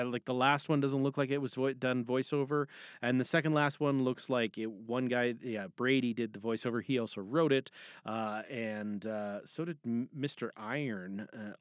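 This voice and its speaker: A podcast host speaking in a theatrical, animated way, reading out announcements and chatting to listeners. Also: medium pitch, clean tone, no room sound, clean studio sound.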